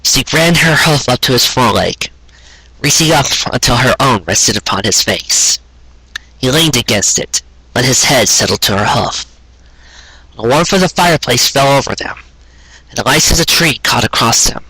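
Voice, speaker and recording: A man's voice: medium pitch, animated, moderate pace, sharp tone, slightly rough, no echo, low-quality recording. A man narrating a scripted story in an earnest, sentimental manner. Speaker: narrator reading fan fiction.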